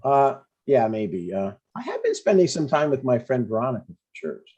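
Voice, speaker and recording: An older widowed father played by a man at a table read, earnest but blunt. His voice is husky and mellow, swinging freely in pitch as he haltingly gives advice. The recording is dull and lo-fi. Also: huge pitch swings. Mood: mild, reflective. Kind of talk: scripted dialogue, comedy table read. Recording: dull, lo-fi sound.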